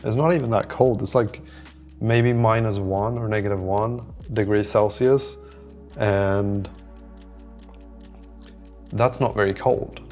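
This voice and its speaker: A man's voice: on the low side, fairly even intonation, slow pace, long pauses, a little mellow, soft background music. A man hosting a video diary, chatting casually in an informal, rambling and hesitant way. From lives in southern Sweden. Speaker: host of a startup-building video diary. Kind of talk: casual vlog chat. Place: indoor room beside a window.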